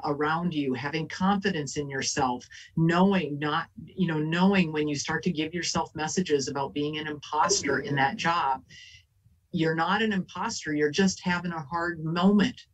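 A woman's voice: deep voice, husky, dull audio. Woman on a distant video call. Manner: earnest, many fillers and restarts. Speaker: panelist, elected official. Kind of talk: casual panel talk.

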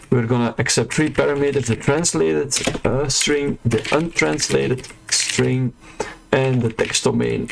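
A man's voice: mid-range voice, a little hiss or hum, rough recording. Male tutorial host, casual unscripted explainer, fillers and restarts, mid-range voice, typing behind. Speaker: web developer tutor. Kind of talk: screen-recorded coding tutorial.

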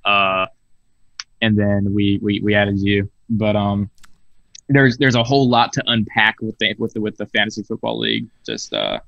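A man's voice: lowish voice, animated, normal speed, gravelly, a bit bright, no echo. Teen guy on a dull lo-fi call. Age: teens. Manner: casual, swears freely, stumbling restarts. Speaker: guest. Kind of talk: casual chat. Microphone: video call. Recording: dull lo-fi video call audio.

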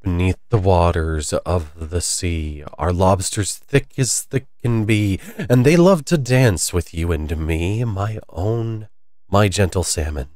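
A man voicing the Mock Turtle, a melancholy old sea creature who speaks slowly and sadly. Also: sits low, no room sound, studio quality, voice pushed down. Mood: mournful singing. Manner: slow, sad, sometimes choked with sobs.

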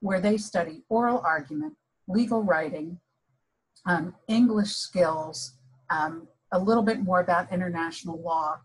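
A woman's voice: fairly low pitch, husky, poor audio. A woman law professor speaking informally on a conference panel over a video call.

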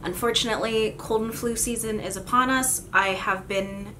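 A young woman with a raspy, sick voice chatting casually about her figure collection, with lots of ums and self-mocking asides, filmed at home at desk distance. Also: fairly high pitch, fairly even intonation, moderate pace, noisy background. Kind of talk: casual unboxing chat. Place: home room with figure shelves. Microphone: camera at desk distance.

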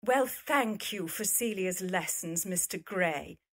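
A woman's voice: mid-range voice, slow, husky. A woman acting a gracious, polite middle-aged mother in an audio drama. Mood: grateful.

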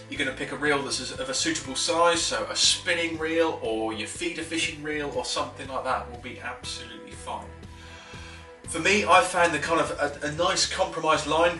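An enthusiastic man in his thirties explains fishing tackle in a casual, chatty way, with lots of fillers and restarts. His voice is bright and forward. It is recorded from a little distance in an echoey living room, with faint music underneath. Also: medium pitch. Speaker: fishing instructor. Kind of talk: casual tackle tutorial to camera. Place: living room at a wooden table. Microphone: camera a few feet away.

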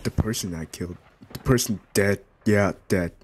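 A man improvising a comedic fan voice-over for a cartoon in a deadpan, goofy manner. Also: lowish voice, moderate pace, slightly rough. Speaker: amateur fan dubber.

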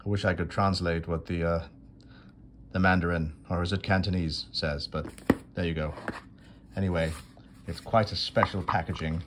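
A man hosting his own coin video, narrating an unboxing from off camera in a dry, self-deprecating way with unhurried pauses. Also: sits low, fairly even intonation, background noise. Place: indoors at a marble tabletop. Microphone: phone camera held over a table.

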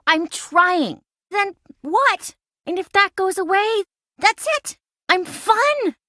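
A woman playing an insecure, excitable, boy-crazy young best friend in a video game, dramatic and expressive. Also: high voice, no room sound, clean studio sound, heavily acted. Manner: dramatic, expressive, emotionally swinging.

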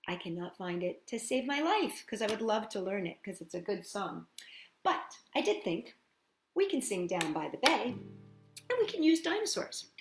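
A woman's voice: mid-range voice, big pitch swings, normal speed, roomy sound, soft background music. A middle-aged woman hosting a children's storytime, chatting to young listeners conversationally and putting questions to them. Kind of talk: storytime chat to young children, with read-aloud and singalong.

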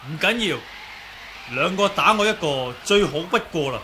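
Courteous young hero, noisy recording. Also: male voice, high-pitched, animated, normal speed, pushed and bright. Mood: calm resolve. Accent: Hong Kong Cantonese. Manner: polite, earnest. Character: courteous, confident young male martial arts hero. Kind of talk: 1980s radio drama acting. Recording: noisy old radio drama recording.

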